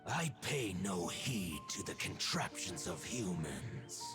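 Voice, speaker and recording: A man's voice: low-pitched, sing-song, slow pace, over loud music, full character voice. A man voicing a proud ancient wolf god in a video game, speaking in a stately, archaic, solemn way.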